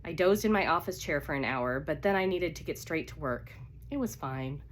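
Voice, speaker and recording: A woman's voice: deep voice, lively intonation, moderate pace, a little hiss or hum. A woman with a cold voicing an anxious, stubborn jilted bride in a romance novel reading. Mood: weary, defensive. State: congested with a cold.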